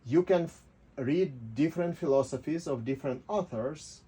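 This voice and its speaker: Mellow man, explaining with halting reasoning. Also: on the high side, breathy edge. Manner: halting, rhetorical, often ends points with "right?". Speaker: interview guest. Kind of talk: casual philosophical explanation.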